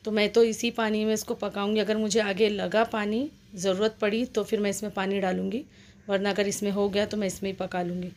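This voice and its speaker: A woman's voice: medium pitch, level tone, fairly quick, darkish tone. A woman with an Indian accent giving a casual, step-by-step recipe voice-over in a plain, instructional, repetitive way. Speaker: home cook and vlog host.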